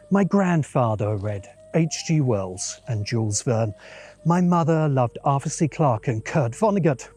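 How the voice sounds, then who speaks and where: A man's voice: fairly high pitch, darkish tone. A man hosting a science fiction channel, giving a scripted video essay narration in a dramatic, musing way with dry asides.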